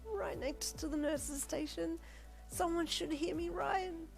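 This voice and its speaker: A woman voicing an injured young woman who sobs and pleads in a high voice. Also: steady tone, slow, gravelly, faint music behind, heavily acted. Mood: sobbing pleading. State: crying. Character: injured young woman patient, tearful and in pain.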